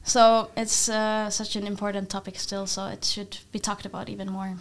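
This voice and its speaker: A woman's voice: mid-range voice, level tone, moderate pace. A young woman from Finland talking informally as a student guest in a podcast discussion. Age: young adult.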